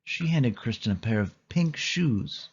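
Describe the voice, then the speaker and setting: A man's voice: medium pitch, animated, a little slow, breathy edge, muffled recording. A man reading a scripted children's story aloud as a read-along narrator.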